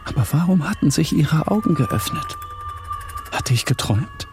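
A man playing the tormented inner voice of an obsessed painter, musing intensely in a dramatic monologue that often turns hushed. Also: a little high, sing-song, moderate pace, music in the background. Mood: obsessed, questioning.

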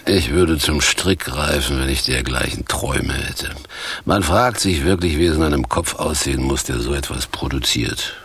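An actor playing a weary, reproachful homeless former stage actor in a radio play, speaking deliberately and pompously in a husky, gravelly voice. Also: male voice, rumbling low, a bit bright, a little hiss or hum. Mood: reproachful, dismissive.

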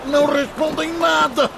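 A man's voice: very high voice, fairly even intonation, slow pace, gravelly, heavily acted. An actor playing a haughty, scornful nobleman in a radio drama, pompous and sneering, with noise behind him. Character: haughty, scornful landowning noble among the damned. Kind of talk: melodramatic radio drama acting. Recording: loud background noise under the voice.

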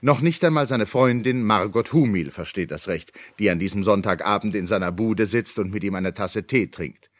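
An actor playing a wry, knowing narrator in a 1960s German radio comedy, with ironic, crisp phrasing. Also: male voice, fairly low pitch, moderate pace, few pauses, dry recording, clean studio sound, natural voice.

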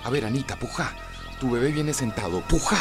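A man playing a steady, decisive village doctor in a radio drama, authoritative and reassuring. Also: medium pitch, fairly quick, over music, close to an everyday voice. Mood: grave.

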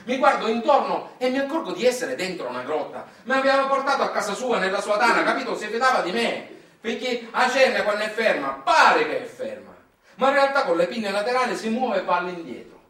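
A man's voice: high-pitched, very animated, a little fast, sharp tone, full character voice. A man acting a nostalgic, chatty small-town Sicilian storyteller, speaking in a folksy, conversational stage monologue with dialect and a Sicilian accent. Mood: animated, amazed. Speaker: stage actor performing a monologue. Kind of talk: colloquial theatrical storytelling monologue. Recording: roomy, echoing, distant mic, faint noise.

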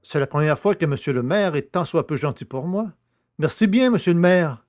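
Man acting a stubborn, lively-voiced village poacher. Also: a little high, normal speed, close to an everyday voice. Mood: grateful. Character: wrongly jailed village poacher, stubborn, naive, easily flattered. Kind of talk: French audio drama acting.

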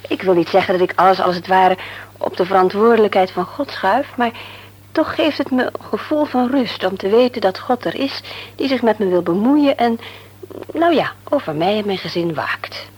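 An actress playing a devout, anxious mother in a Dutch radio play, speaking earnestly and gently. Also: mid-range voice, normal speed, slightly rough, natural voice. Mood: earnest, confiding. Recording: noisy old radio play recording.

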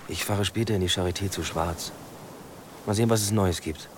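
A man's voice: sits low, fairly quick, background noise, natural voice. An actor playing a laconic male police detective, understated and natural, in a radio drama. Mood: inquiring. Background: prominent music.

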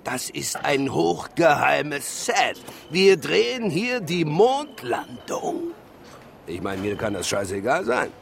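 Shrieking, high-pitched film director. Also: male voice, huge pitch swings, slow, creaky, full character voice. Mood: gruff, suspicious. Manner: shouting, cursing, bullying bursts. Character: foul-mouthed, choleric Hollywood film director. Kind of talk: comic radio drama acting. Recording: music playing behind the voice.

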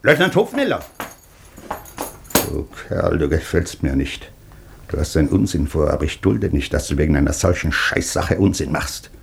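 An actor playing a gruff, domineering older army colonel in a German radio drama, barking curt commands. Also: male voice, extremely low pitch, big pitch swings, moderate pace, a little hiss or hum, full character voice. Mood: gruff, urgent.

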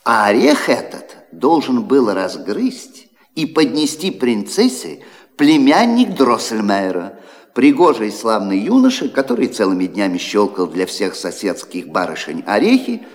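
A man's voice: medium pitch, wildly swooping pitch, a little slow. Old godfather clockmaker, fairy-tale radio play, widely swooping intonation, theatrical, teasing, kindly, long hall reverb. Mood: animated storytelling. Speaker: storyteller godfather in a musical audio play.

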